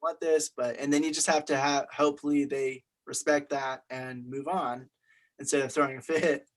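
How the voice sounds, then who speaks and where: A man's voice: medium pitch, fairly even intonation, moderate pace. A man taking part in a casual group discussion as a film class member. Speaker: participant in an online film class meeting.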